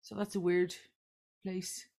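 A woman's voice: on the low side, level tone, takes it slowly, gravelly, mellow. A woman in her late forties from Ireland, a podcast guest chatting casually with her family and laughing often. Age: 40s. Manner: laughing, self-deprecating.

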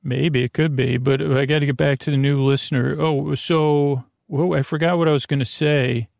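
A man hosting a sleep podcast in a meandering, halting monologue, drifting into digressions and whimsical imagined exchanges. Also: fairly high pitch, steady tone, vocal fry, a little mellow, no echo, studio quality. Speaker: podcast host.

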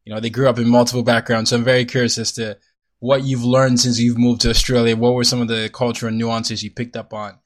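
A man's voice: medium pitch, flat delivery, quick pace. A man hosting an interview, friendly and curious, recapping his guest's points and asking follow-up questions. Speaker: interview host.

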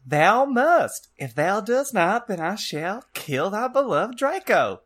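A man voicing a cruel, menacing dark wizard villain in a comic fan fiction reading, with commanding mock-archaic delivery. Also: sits high, big pitch swings, slow pace, voice pushed up, heavily acted.

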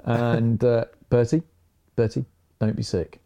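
A man's voice: medium pitch, level tone, takes it slowly, vocal fry, warm tone. A man hosting a casual podcast chat, joking at his own expense and chuckling often. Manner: jokey, self-mocking, chuckles often. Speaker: podcast host.

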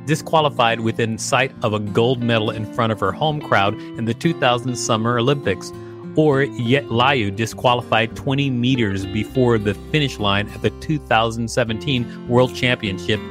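A man hosting and reading an encyclopedia article aloud in a scripted narration style, with brief casual asides. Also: mid-range voice. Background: guitar music.

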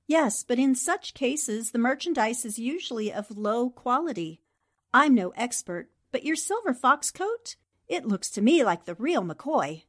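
Sharp lady columnist, played by a woman, 1940s radio drama: highish, very lively pitch, theatrical, knowing, teasing. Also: a little slow, heavily acted. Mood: brisk, knowing. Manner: theatrical, condescending endearments. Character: shrewd, worldly, teasing newspaperwoman columnist.

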